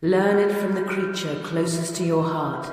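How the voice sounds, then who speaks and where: An actor playing a solemn, accusing spirit in a radio drama, chanting slowly through heavy reverberation. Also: male voice, high-pitched. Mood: solemn, commanding. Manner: slow, incantatory. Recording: cavernous long echo, music underneath.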